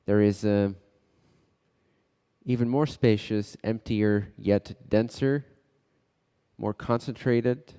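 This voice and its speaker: A man leading a guided meditation as a teacher, speaking in short, unhurried phrases with pauses between them. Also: lowish voice, mellow, clear, resonant tone. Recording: long reverberant echo.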